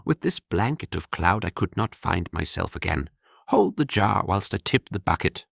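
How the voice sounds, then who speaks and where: Brief: man playing a wry, courtly ex-king, low gravelly voice, storybook. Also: big pitch swings, moderate pace, fairly bright tone, no echo, studio quality, heavily acted. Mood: hearty. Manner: courtly, wry, old-fashioned speech. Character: wandering former king, hungry young-ish man, courteous and wry.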